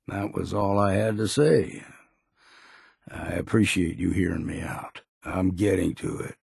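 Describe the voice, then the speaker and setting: A weathered old hunter speaks with a deeply creaky, raspy voice. He is blunt, proud and weary, a game character with a folksy, plain-spoken drawl. The sound is very dry, with no room around him. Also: no echo, heavily acted. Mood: earnest. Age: older. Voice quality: gravelly, husky. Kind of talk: video game character dialogue.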